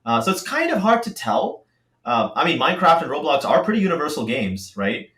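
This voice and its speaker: Animated man in an echoey room. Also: on the high side. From Singapore. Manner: animated, quick, many fillers. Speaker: startup co-founder. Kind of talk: casual podcast chat.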